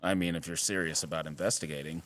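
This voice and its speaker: An actor playing a wry, guarded wildlife biologist in an audio drama, with teasing, dry sarcasm and an earnest streak. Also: male voice, low-pitched, fairly even intonation, quick pace, a bit bright, slight background noise. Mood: earnest, conspiratorial. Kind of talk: naturalistic audio drama acting.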